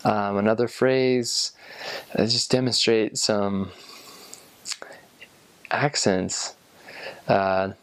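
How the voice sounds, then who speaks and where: A young man and former missionary talking casually to the camera, rambling and halting with lots of ums. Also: on the low side, steady tone, no echo. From United States. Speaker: returned missionary.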